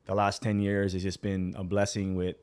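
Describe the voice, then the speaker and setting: A man's voice: low voice, completely flat delivery, normal speed, darkish tone, smooth. A man in his forties from Hawaii's Big Island, talking as a podcast guest in an earnest, rambling way. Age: 40s. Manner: earnest, appreciative, rambling with many "you know"s. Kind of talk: casual podcast chat.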